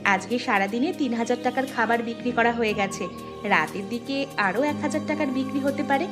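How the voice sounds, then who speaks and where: Polite cartoon food-stall woman, female actor, higher-pitched, welcoming manner, over prominent music. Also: fairly quick, natural voice. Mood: hospitable, polite. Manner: courteous, inviting. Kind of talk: Bengali moral-story cartoon voice acting. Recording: music clearly behind the voice.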